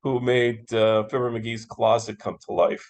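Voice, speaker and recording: Casting: American man, moderator type, creaky voice, enthusiastic and agreeable in casual conversation. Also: medium pitch, level tone, measured pace, darkish tone. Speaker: interviewer, moderator. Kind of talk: casual interview chat about classic radio.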